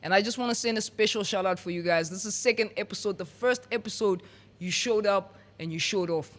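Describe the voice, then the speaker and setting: High-voiced hyped host. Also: male voice, fairly quick, fairly bright tone. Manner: hyped, playful, repeats words. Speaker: podcast host. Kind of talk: casual podcast hosting.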